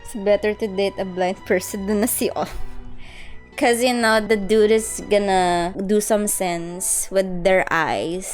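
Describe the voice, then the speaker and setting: A woman's voice: medium pitch, fairly even intonation, unhurried. A woman with a Filipino accent casually reacting to a game on a live stream, playful and teasing. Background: game music.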